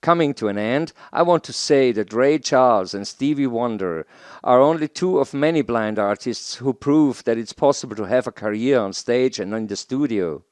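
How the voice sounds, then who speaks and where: A man's voice: mid-range voice, expressive, moderate pace, no echo. Dry-sounding man, presenting formally. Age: middle-aged. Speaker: presenter, audio educator. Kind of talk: scripted presentation. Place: small home studio, indoors. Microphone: headset.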